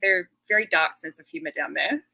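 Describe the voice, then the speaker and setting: A woman's voice: sits low, brisk, vocal fry, sharp tone. An Australian woman in her thirties, a guest chatting casually and laughing often. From Western Australia. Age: 30s. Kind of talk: casual interview chat.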